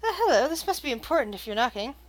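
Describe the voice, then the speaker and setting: A woman's voice: high-pitched, big pitch swings, fast talker, rough recording. A woman voicing a wry silver tiefling man. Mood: easygoing.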